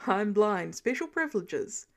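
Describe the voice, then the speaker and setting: A woman reads as a dry, teasing man in an audiobook story. He speaks curtly, with playful entitlement, in a voice a little lower than her narration. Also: big pitch swings, a little slow.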